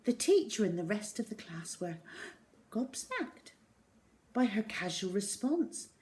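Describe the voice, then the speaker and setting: A woman reading a children's story aloud in an expressive, dramatic way, with deliberate pauses. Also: medium pitch.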